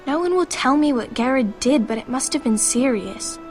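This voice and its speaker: Protective noble older sister in a fantasy game, high proper reassuring voice, faint music. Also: female voice, expressive, normal speed, darkish tone. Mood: concerned, proper. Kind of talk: dramatic fantasy game acting.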